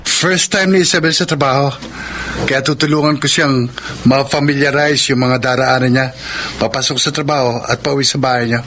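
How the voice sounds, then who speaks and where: A Filipino actor playing a friendly, helpful young suitor in a radio drama. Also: male voice, mid-range voice, fairly even intonation, normal speed, fairly bright tone, a little hiss or hum, lightly acted. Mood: friendly, eager.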